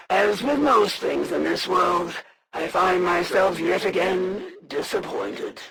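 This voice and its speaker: A proud female alien warrior, a video game villain with cold disdain. Her voice is heavily creaky, and she delivers formal, condescending lines slowly, with wide swings in pitch. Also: fairly bright tone, heavily acted, rough recording. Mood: smug contempt. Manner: formal, measured, haughty, condescending. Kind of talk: scripted game character voice lines.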